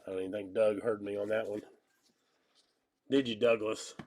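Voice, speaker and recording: A man in his thirties with a stuffy nose and slightly hoarse voice, chatting casually and joking while he hosts a live card break. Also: on the low side, fairly even intonation, moderate pace, a little mellow. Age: 30s. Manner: rambling, joking, calls out card pulls. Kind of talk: casual live-stream chat while opening cards.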